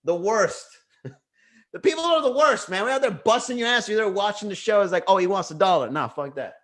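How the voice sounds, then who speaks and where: A man's voice: high-pitched, expressive, brisk, a bit bright. A man with a Miami accent hosting a comedy livestream, riffing loosely and joking with his chat. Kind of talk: casual livestream stand-up riff.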